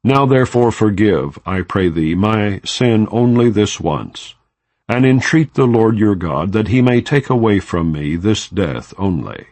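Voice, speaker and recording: A man narrating an audiobook of scripture in a solemn, measured, formal manner, without dramatizing the dialogue. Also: lowish voice, lively intonation, darkish tone, no echo. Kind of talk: non-dramatized scripture reading.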